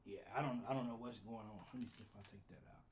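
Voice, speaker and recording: A man's voice: mid-range voice, steady tone, racing, a little hiss or hum. A man hosting a live fan call-in show, casual and flustered while fixing his audio setup. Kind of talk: casual live-stream chat.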